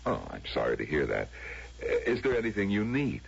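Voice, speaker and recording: Gravelly, deep, courteous gentleman. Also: wildly swooping pitch, brisk, heavily acted. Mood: genial. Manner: polite, solicitous, theatrical. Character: courteous, genteel man of a future utopia. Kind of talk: 1970s radio drama acting. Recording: dull vintage radio drama recording.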